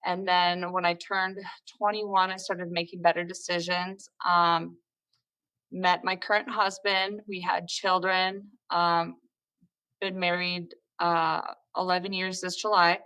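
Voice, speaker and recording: A woman in her thirties with a slightly low voice is a guest on a podcast. She comes from northern Minnesota and talks candidly about herself, pausing often on filler words. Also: flat delivery, bright, forceful tone, clear, resonant tone, low-quality recording. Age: 30s. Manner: candid, with frequent "um"s. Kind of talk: conversational interview.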